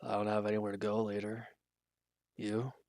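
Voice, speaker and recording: A man playing an injured, weary villain in a roleplay audio drama, guarded and quietly considerate, speaking in halting, hesitant lines. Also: completely flat delivery, no room sound, studio quality, natural voice. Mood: weary, reluctant. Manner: hesitant, halting, low-key, grudging. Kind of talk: roleplay audio drama, intimate one-sided dialogue.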